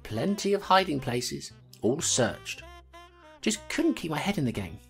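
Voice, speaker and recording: Audio drama lead: streetwise clawed creature detective, low register, swooping intonation, terse and self-deprecating. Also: female voice, sing-song, a little slow, faint music behind, full character voice. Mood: brooding, recounting. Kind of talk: dramatic first-person storytelling in an audio drama.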